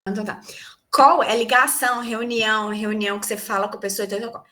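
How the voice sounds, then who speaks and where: A woman's voice: on the high side, fairly even intonation, quick pace, pushed and bright. A woman English teacher from Brazil giving an online class on a video call, explaining terms and quizzing her students. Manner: explanatory, asks students questions and answers them.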